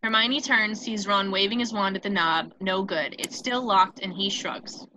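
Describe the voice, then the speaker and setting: A woman's voice: mid-range voice, moderate pace, pushed and bright, a little hiss or hum, poor audio. A woman reading stage directions as the narrator of a scripted screenplay table read.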